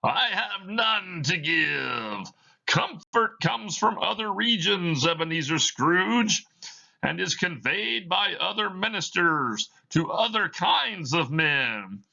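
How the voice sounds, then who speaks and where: A man voicing a tormented, ominous ghost of a dead businessman in solemn, drawn-out, wailing declamation for a stage play reading. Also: high-pitched, very animated, vocal fry, bright, forceful tone, dull audio, pitched above the performer's own voice, heavily acted. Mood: mournful, weary.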